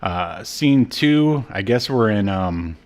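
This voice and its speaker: A man co-hosting a podcast, casually recapping a TV episode in a halting, irreverent way with trailing asides. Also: lowish voice, animated, darkish tone. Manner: irreverent, jokey, profane. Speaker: podcast co-host.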